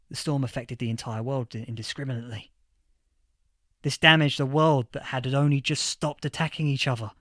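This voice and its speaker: An actor playing a history professor from a post-apocalyptic future in a fiction podcast interview, explaining things with emphatic lecturing. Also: male voice, a little high, no room sound, studio quality, natural voice.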